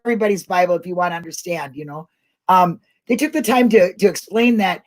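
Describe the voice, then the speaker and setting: A woman's voice: fairly low pitch, fast. A middle-aged woman hosting a casual live online discussion, chatting in a colloquial, rambling way. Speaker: host of an online talk show.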